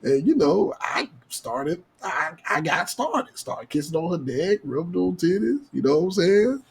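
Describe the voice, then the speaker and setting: A man with a husky voice telling a casual podcast story in slangy, profane talk, acting out the dialogue. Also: fairly high pitch, very animated, a little slow, background noise. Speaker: podcast co-host.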